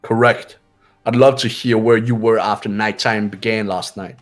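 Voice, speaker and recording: A man's voice: lowish voice, fairly even intonation, normal speed, faint music behind, natural voice. A man voicing a cool, commanding young man who questions and explains formally in a visual novel game. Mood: coolly demanding. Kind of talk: amateur visual novel voice acting.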